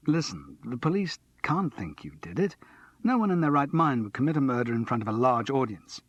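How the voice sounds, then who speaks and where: A man reading aloud and voicing a calm, reasonable middle-aged man who soothes his friend. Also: mid-range voice, a little fast, slightly rough, dry recording. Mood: reassuring. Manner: soothing, patient. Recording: slightly muffled dry recording.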